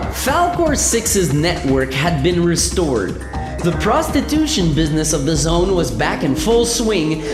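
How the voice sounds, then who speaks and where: A woman's voice: lowish voice, roomy sound, in a large hall. A woman as narrator, reading scripted narration for a radio play. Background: music.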